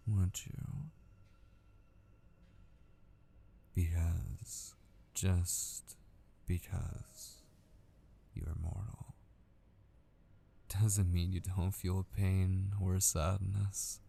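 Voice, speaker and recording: A man acting as an obsessive, menacing male kidnapper in an intimate ASMR roleplay, slow and taunting, often dropping into whispers. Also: low voice, fairly even intonation, vocal fry, mellow, a little hiss or hum, exaggerated voice. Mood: threatening, obsessive.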